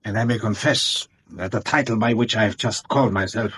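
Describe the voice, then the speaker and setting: A man's voice: fairly low pitch, a little fast, fairly bright tone, husky. An actor playing a proud Bohemian king in a radio drama, with a pompous, formal manner. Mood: haughty.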